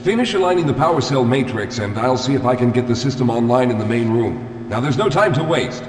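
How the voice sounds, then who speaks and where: A man's voice: mid-range voice, animated, brisk, lightly acted. A man playing a formal, anxious scientist in echoey reverb. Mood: urgent, instructing. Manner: formal, articulate, explanatory. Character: weary, guilt-ridden scientist, anxious and self-critical. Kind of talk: scripted video game character dialogue. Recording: echoey hall with long reverb.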